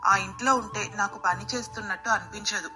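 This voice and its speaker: Woman playing a loyal, clear-toned, earnest housemaid. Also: mid-range voice, a little fast, sharp tone, soft background music. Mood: earnest, humble. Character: loyal, dignified housemaid, humble but frank and firm. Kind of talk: acted dialogue in a moral bedtime story.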